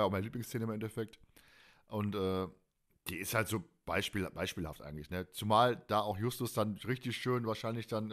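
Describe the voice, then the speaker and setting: A man hosting a casual fan podcast, talking in a colloquial, opinionated and blunt way. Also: fairly low pitch. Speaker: podcast host.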